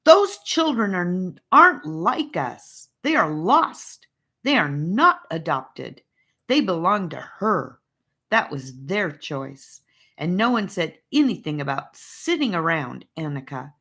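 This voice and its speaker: A slow, deliberate boy leader from a storybook, acted out by a woman. He is stern and thoughtful, lecturing in drawn-out phrases with wide swings of pitch. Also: sing-song, pushed and bright, husky, exaggerated voice. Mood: stern, heated.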